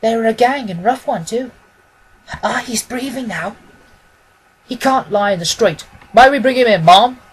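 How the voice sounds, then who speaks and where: A concerned woman from a street crowd, acted by a man, speaking earnestly and with urgency. The voice has a husky grain and the plain directness of a bystander in a storybook drama. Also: extremely high pitch, normal speed, long pauses, voice pushed up, low-quality recording. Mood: anxious sympathy. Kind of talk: dramatised audiobook reading.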